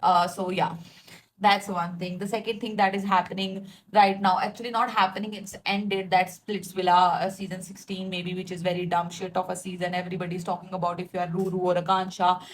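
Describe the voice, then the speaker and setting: A woman's voice: lowish voice, flat delivery, a little fast, a little hiss or hum, low-quality recording. A woman, ranting casually to her followers and laughing at herself, with lots of likes and fillers. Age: 20s. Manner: chatty, self-mocking, laughs often, filler-heavy. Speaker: vlogger. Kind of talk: casual rambling rant to followers.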